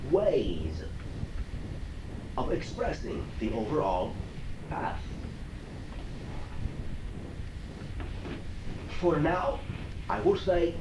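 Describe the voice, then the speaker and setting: A man voicing a channeled alien being, assured and teacherly, in deliberate halting phrases with formal, stilted wording. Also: on the high side. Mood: solemn, instructive. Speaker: channeler answering audience questions. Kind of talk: channeling session, question and answer. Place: echoey room, recorded from afar. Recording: echoey, noisy old cassette, distant mic.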